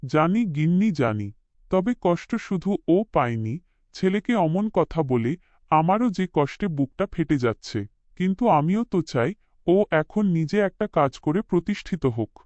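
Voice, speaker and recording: A man's voice: on the high side, expressive, normal speed, darkish tone, no echo, lightly acted. A man voicing a desperate poor working father in a cartoon, deferential and sorrowful, in a dull recording. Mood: weary, sorrowful. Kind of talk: cartoon voice acting.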